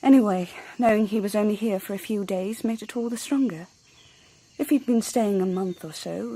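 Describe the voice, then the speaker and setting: An actress playing a cool, self-possessed young woman in a radio drama, with a hushed, candid manner. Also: mid-range voice, slightly rough, rough recording. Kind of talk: intimate radio drama acting.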